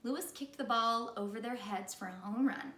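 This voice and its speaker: A woman reading a children's story aloud in the animated style of a teacher. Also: fairly high pitch, moderate pace, far from the mic. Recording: echoey room.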